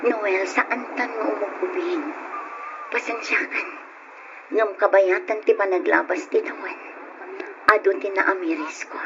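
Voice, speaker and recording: A practical young Filipino woman played by an actress in a radio drama, with a medium-pitched voice. She is a girlfriend who wants more from life, heard through a muffled recording with plenty of noise. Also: a little slow, long pauses, close to an everyday voice. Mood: serious, disappointed. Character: practical young woman dissatisfied with her poor boyfriend.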